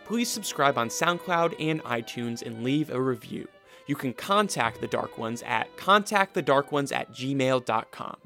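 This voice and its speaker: A man's voice: medium pitch, animated, measured pace, vocal fry, bright, forceful tone, soft background music. A man giving a scripted announcement as the announcer of a radio drama's credits.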